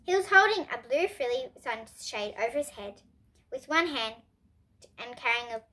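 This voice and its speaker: A young girl reading a children's story aloud as host, expressively and deliberately, with dramatic pauses. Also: a little high, lively intonation, sharp tone.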